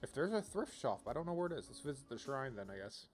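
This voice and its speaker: A man's voice: medium pitch, very animated, quick pace, soft background music. A man casually commentating on a video game as he streams, rambling and thinking aloud as he reads out options.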